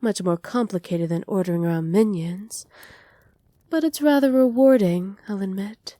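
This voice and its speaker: A woman voicing a doting, possessive evil witch in an intimate ASMR roleplay, speaking softly and teasingly, often slipping into breathy whispers. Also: medium pitch, no room sound, studio quality, close to an everyday voice. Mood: fond, musing. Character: doting, possessive evil witch pampering her captive pet. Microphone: close microphone, dry.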